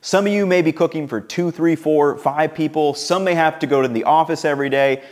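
A young man in his twenties, upbeat and relaxed, narrating his home cooking and chatting to the camera in his kitchen. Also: on the high side, fairly quick. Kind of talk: cooking vlog voice-over and casual to-camera chat.

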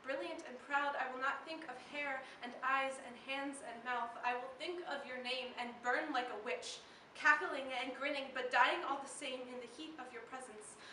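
A young woman performing slam poetry in a dramatic, deliberate way, her delivery moving between hushed and intense. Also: high voice, fairly even intonation. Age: teenager or young adult. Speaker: slam poet. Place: live poetry slam in a room. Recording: echoey room, distant mic, faint noise.

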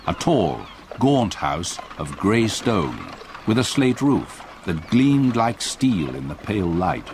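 An actor playing an older English retired solicitor, narrating his memories in measured, literary radio drama style. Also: lowish voice, big pitch swings, slight background noise. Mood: awed, grave. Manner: measured, literary, vivid storytelling. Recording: clear outdoor effects behind narration.

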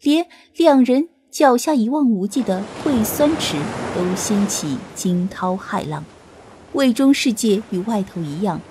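Expressive, unhurried woman narrating an audiobook. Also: medium pitch, background noise. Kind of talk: expressive scripted novel narration.

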